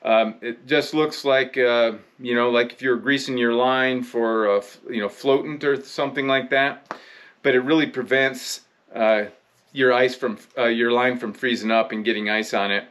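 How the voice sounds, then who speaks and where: Halting, conversational American man in his fifties. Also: mid-range voice, fairly even intonation, a bit bright. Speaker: fishing video presenter. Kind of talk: casual instructional vlog talk. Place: indoor room with rod racks.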